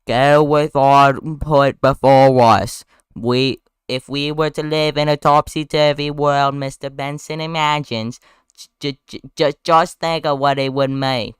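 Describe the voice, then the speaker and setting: Man putting on a loud, drawling Southern lawyer. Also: mid-range voice, steady tone, clean tone, dry recording, clean studio sound, lightly acted. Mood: grandiose. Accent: exaggerated American Southern. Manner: slow, heavy drawl with grandiose oratory. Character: pompous heavyset southern male lawyer, folksy and theatrical.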